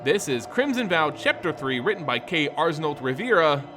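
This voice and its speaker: Animated, high-pitched male story narrator over music. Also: normal speed. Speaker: lore channel narrator. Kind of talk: dramatic scripted story narration. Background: background music.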